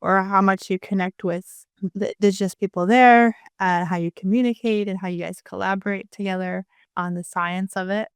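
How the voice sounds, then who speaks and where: Woman, podcast host, friendly brief questions, mid-range pitch, dry close sound. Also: fairly even intonation, moderate pace, clear, resonant tone, no room sound. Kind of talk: interview questions.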